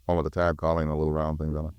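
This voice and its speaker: An actor playing an evasive, cocky American small-time crook, slangy and defensive, in a 1950s radio crime drama. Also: male voice, extremely low pitch, monotone, rapid-fire, clear, resonant tone, dry recording, highs cut off. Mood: cocky, offhand.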